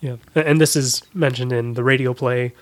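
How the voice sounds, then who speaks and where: A man's voice: medium pitch, steady tone, moderate pace. A man speaking as a guest in a casual discussion of films and books. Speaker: podcast guest.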